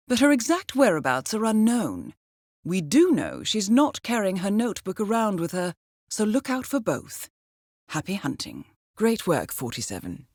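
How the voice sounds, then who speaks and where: An actress voicing a cool-headed female spy handler in a video game, briefing an agent with measured, polished precision and dry wit. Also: lowish voice, no echo. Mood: composed, businesslike. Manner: measured, composed, precise, understated. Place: studio.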